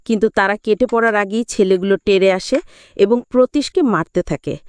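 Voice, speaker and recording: A woman's voice: medium pitch, moderate pace, darkish tone, clear, resonant tone. A woman reading a scripted, dramatic retelling of a film as a movie explainer. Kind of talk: scripted dramatic story narration.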